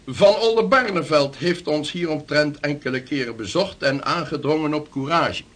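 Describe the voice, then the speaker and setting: An actor in a radio play playing a dignified merchant official who addresses a council in formal, ceremonious speeches. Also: male voice, on the high side, moderate pace, a bit bright.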